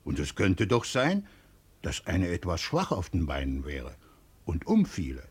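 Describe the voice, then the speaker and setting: Radio drama: loyal village friend, expressive melody, fairly deep, musing and halting. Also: male voice, slightly rough, full character voice. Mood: musing, coaxing. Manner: hesitant, musing, soothing. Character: kindly, loyal villager and family friend, hesitant daydreamer. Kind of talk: German radio play acting.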